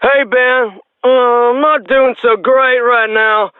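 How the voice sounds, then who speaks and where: High, smooth, heartbroken drinker on the phone. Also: male voice, steady tone, slow, no echo. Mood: miserable. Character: heartbroken, gin-drinking man calling a radio DJ. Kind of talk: scripted game radio phone-in. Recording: tinny phone line.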